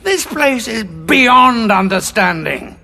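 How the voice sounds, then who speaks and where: An actor playing a war-weary, embittered time traveller who speaks in a bitter, confrontational way. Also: male voice, high voice, big pitch swings, slow, vocal fry, sharp tone, heavily acted. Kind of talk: audio drama acting.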